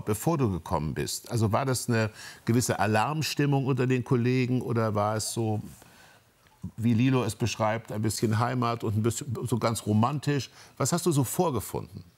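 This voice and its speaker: A man's voice: mid-range voice, darkish tone. A man hosting a conversational interview, engaged and opinionated, rephrasing his questions as he goes. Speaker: interviewer, host.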